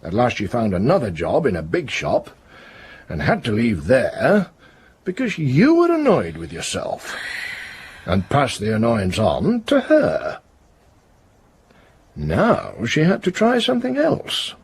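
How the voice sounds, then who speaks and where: An actor playing a grave, probing police inspector in a radio drama, with a rough, husky voice and a deliberate, accusatory way of questioning. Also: male voice, medium pitch, wildly swooping pitch, heavily acted.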